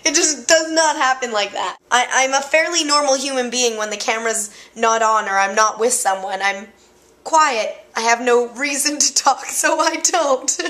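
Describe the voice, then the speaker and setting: A young woman chatting casually and loudly in a vlog, excitable and joking. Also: fairly high pitch, normal speed. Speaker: vlogger.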